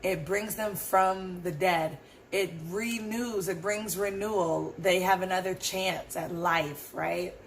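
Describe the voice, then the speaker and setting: A hoarse woman hosting her own podcast, talking through her thoughts in a rambling, earnest way. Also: on the low side, fairly even intonation, takes it slowly, slight background noise, low-quality recording. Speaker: podcast host. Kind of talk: casual solo reflection.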